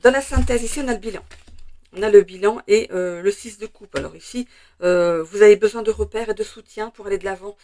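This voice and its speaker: Slightly hoarse French woman reading tarot. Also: medium pitch, fairly quick, dark tone. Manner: chatty, hesitant with many fillers, laughs at jumping cards. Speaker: tarot card reader. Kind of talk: casual weekly tarot reading.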